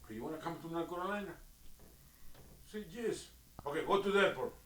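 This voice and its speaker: A man's voice: a little high, expressive, fast, reverberant, crowd noise. A middle-aged man whose first language is Spanish, speaking broken English in an insistent, emphatic casual chat.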